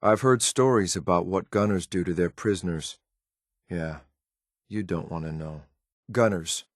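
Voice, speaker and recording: A low-pitched male synth soldier from a video game, recorded close and dry. He speaks in clipped, matter-of-fact military phrases. He sounds stern and dutiful, with disdain for whatever he finds beneath him. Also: a little slow, no room sound. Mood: contemptuous. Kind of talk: video game companion voice lines.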